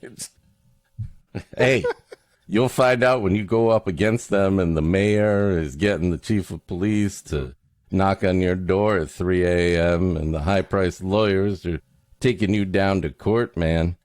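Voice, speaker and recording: A man's voice: deep voice, unhurried, a little mellow, no echo, studio quality. A man co-hosting a podcast, mixing story reading with wry, casual comic riffs. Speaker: podcast co-host.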